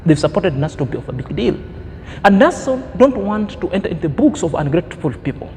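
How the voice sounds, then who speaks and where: A man's voice: high voice, sing-song, fast talker, darkish tone, long reverb tail, a little hiss or hum. A man in his thirties giving an opinion commentary as a vlogger, preachy and emphatic, fond of grand words. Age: 30s.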